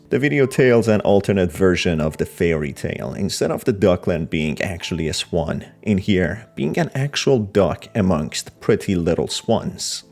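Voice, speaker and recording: Low-voiced male explainer narrator. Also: a little mellow. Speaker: video narrator explaining horror stories.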